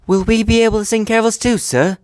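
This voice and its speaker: A man's voice: high voice, fairly even intonation, a little fast, a little mellow, clear, resonant tone, dry recording, clean studio sound, voice pushed up, close to an everyday voice. A man voicing an eager, brave young steam engine in a children's storybook, earnest and polite. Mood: hopeful.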